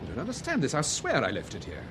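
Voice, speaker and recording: An actor playing an urbane, wry novelist and amateur detective in a radio drama, with a suave, teasing British delivery. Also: male voice, medium pitch, wildly swooping pitch, fast, music in the background. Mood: puzzled, rueful. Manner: suave, teasing, dryly amused.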